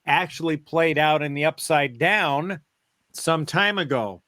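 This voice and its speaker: A man co-hosting a podcast, explaining things step by step in a halting, casual way. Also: fairly high pitch, sharp tone, no room sound, studio quality. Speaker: podcast co-host. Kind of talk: casual fan discussion, recounting and theorizing.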